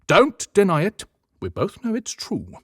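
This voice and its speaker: Man acting a firm, animated older Santa. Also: high-pitched, measured pace, husky, no echo, clean studio sound, voice pushed up, exaggerated voice. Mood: confronting. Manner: firm, frank. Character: jolly-legend Santa Claus, older man, firm and businesslike. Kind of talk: dramatized audiobook acting.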